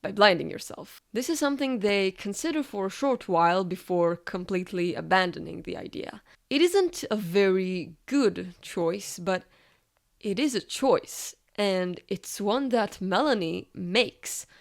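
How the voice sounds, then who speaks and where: A woman's voice: medium pitch, animated, measured pace, vocal fry. A woman reading a scripted, analytical video essay as its narrator.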